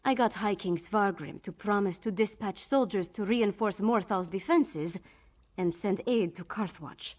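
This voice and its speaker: A woman playing a brisk, determined young noblewoman in a fantasy video game, speaking frankly and in a businesslike way. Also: mid-range voice, natural voice. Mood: wry, determined. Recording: game music behind the voice.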